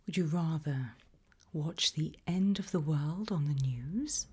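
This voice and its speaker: A woman whispering in a breathy, hushed and deliberate ASMR style, putting questions to the listener. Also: sits low. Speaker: ASMR host.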